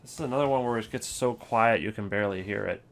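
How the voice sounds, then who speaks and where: A man's voice: on the low side, quick pace. A man hosting a casual ranking video with wry, offhand commentary. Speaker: video host.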